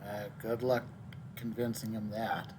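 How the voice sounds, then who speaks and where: A man giving casual, offhand and somewhat halting commentary as he plays a game. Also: fairly low pitch, flat delivery, noisy background. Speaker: gaming streamer. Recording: loud game music behind the voice.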